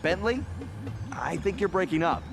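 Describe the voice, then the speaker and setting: A man's voice: sits high, lively intonation, moderate pace, gravelly, noisy background. A man voicing a cocky, wisecracking young raccoon thief in a video game, with a teasing, wry manner. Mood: wary. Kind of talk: animated video game voice acting.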